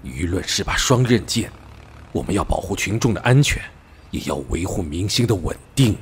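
A man as a stern, low-voiced middle-aged police father. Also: expressive, normal speed, slight background noise. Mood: reproachful. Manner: admonishing, emphatic. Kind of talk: Chinese audio drama acting.

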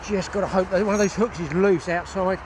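A man's voice: high-pitched, steady tone, a little fast. An older man hosting a fishing show, chatting casually and exclaiming to viewers in an outdoor recording. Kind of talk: casual fishing vlog commentary to camera. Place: outdoors on a grassy riverbank. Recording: outdoor location sound with background noise.

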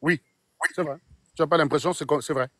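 Middle-aged man, rapid talk, mocking, phone at arm's length outdoors. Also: fairly high pitch, fairly even intonation, a bit bright, a little hiss or hum. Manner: combative, mocking, insistent questioning. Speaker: live stream host. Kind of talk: casual livestream chat. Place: outdoors on a sunny street.

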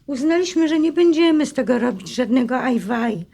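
Actress playing a lively high-voiced, sharp-tongued wife. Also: female voice, fairly quick, gravelly, full character voice. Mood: teasing, affectionate. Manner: expressive, ironic, needling. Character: Majka, sharp-tongued newly married woman, defensive and teasing. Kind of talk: Polish audio drama acting.

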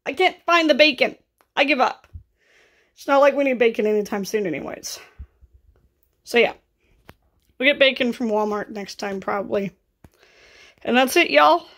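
A woman in her thirties with a scratchy, raspy voice and vocal fry, chatting casually through a grocery haul in her kitchen. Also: fairly high pitch, normal speed, long pauses, a bit bright. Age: 30s. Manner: chatty and offhand, rising into exclaimed asides. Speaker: vlogger. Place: home kitchen, indoors.

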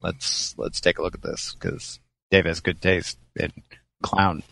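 A man's voice: sits low, flat delivery, measured pace, gravelly, pushed and bright. A man chatting casually as the co-host of a podcast. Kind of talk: casual chat.

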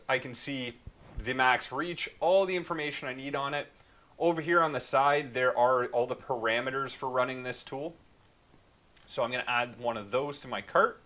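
A man in his thirties hosting a casual, animated shop talk and walking through a website, recorded in a large machine shop. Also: mid-range voice. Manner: animated, gestures broadly while talking. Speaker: show host. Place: machine shop floor, large indoor space.

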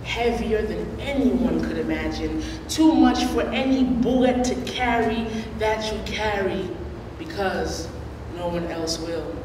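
A young woman performing spoken word poetry at a slam, with a slow, rhythmic, emphatic delivery and dramatic pauses, at a standing mic. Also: medium pitch, expressive. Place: college lecture hall. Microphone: standing mic at a lectern, picked up from a distance. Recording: echoey hall from far off, with noise.

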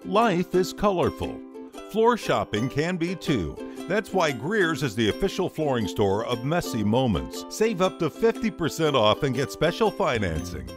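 A man reading scripted commercial voice-overs in a lively, sing-song delivery. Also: fairly high pitch, moderate pace, over music. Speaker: commercial announcer. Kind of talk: scripted ad voice-over.

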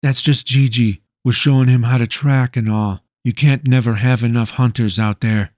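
A man voicing a teenage boy who is a smooth, breezy liar. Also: medium pitch, steady tone, moderate pace, a little mellow, no echo, clean studio sound, natural voice. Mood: casual.

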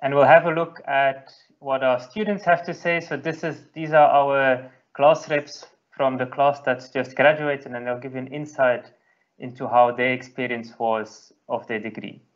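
A man's voice: medium pitch, fairly even intonation, moderate pace, highs cut off. A man lecturer introducing a student video in an online presentation, speaking through a headset.

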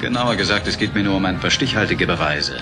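An actor playing a brash young software engineer, sardonic, colloquial and excitable, in an audio drama. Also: male voice, deep voice, quick pace. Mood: sardonic storytelling. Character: cocky, brash young male software engineer and arcade owner. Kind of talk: film dialogue in an audio drama. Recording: clear music behind the dialogue.

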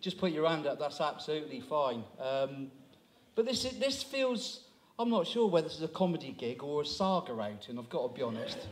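A man's voice: a little high, big pitch swings, fairly quick. A man in his sixties working as compere, teasing the audience in a chatty stand-up crowd-work style on a small town hall stage. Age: 60s. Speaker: comedy compere. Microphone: handheld microphone.

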